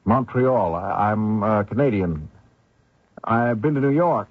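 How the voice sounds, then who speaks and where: A man's voice: on the low side, lively intonation, slow pace, no room sound. An actor playing an anxious young male fugitive, hesitant, polite and evasive, in old-time radio drama style. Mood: guarded. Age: young adult. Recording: noisy lo-fi old radio recording.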